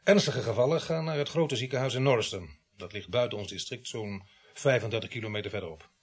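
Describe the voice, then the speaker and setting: A man plays a sardonic village police sergeant in a radio drama. His middle-aged voice is husky and of ordinary pitch. He grumbles gruffly and adds dry, sarcastic asides. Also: quick pace, close to an everyday voice. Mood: dry, instructive.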